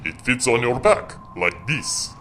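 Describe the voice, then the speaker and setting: An actor playing a terse, formal secret-mission teammate in an audio drama, with clipped wording. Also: male voice, mid-range voice, lively intonation, measured pace, background noise, natural voice. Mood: terse, helpful. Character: terse, formal male gadget-bearing teammate.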